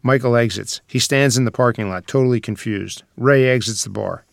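A man's voice: mid-range voice, fairly quick, vocal fry, no echo, studio quality. A man reading stage directions as scripted screenplay narration.

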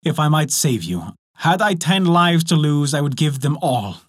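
A man playing an unlucky young village lad in a storybook, earnest and full of longing. The voice sits a little high and sounds dry and close. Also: animated, a little slow, no echo. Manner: lamenting, earnest.

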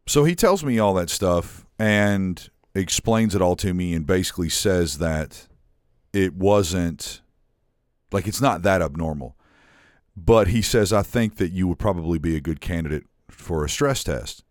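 A man with a very low voice tells a long, meandering story on a podcast, putting on the voices of the people in it. His talk is casual and full of fillers and the odd curse. The sound is close and dry, with no room around him. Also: lively intonation, unhurried, no echo. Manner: rambling storyteller, acts out others' lines, swears casually. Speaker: podcast co-host.

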